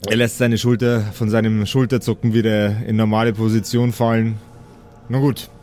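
A man's voice: lowish voice, flat delivery, brisk, darkish tone, faint music behind. A man improvising casual narration as game master of a tabletop role-play.

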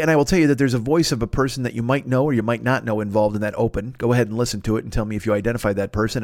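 A man's voice: medium pitch, dry recording. A talkative man delivers a comic podcast monologue in a mid-range voice. He wanders off on tangents, swears freely and pokes fun at himself. The sound is dry, with no room to it. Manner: loud-mouthed, talkative, digressive; profane, self-deprecating. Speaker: podcast host. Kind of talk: rambling comic monologue with sponsor plugs.

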